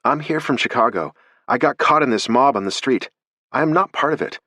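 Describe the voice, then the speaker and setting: A man's voice: medium pitch, animated, brisk, pushed and bright, dry recording, voice pushed up. A man narrating a story voices a frightened, injured young woman who pleads urgently and breathlessly.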